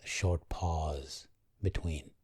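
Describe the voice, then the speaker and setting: Low male voice, mellow tone, unhurried guided meditation, gentle delivery, dry close sound. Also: slightly rough, dry recording. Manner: calm, gentle, measured with long pauses. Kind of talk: guided meditation, slow and soothing.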